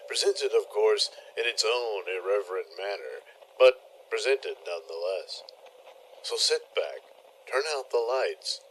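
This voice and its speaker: A man narrates a mock-horror audio play in a lowish, very bright voice. His phrasing is theatrical and ominous, with faint noise behind him. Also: poor audio.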